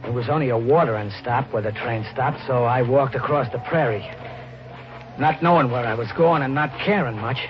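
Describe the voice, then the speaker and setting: An actor playing a desperate, guilt-haunted drifter in a 1950s radio drama, narrating in a tense, halting, colloquial American voice. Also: male voice, medium pitch, noisy background, close to an everyday voice. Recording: muffled 1950s radio recording, music behind.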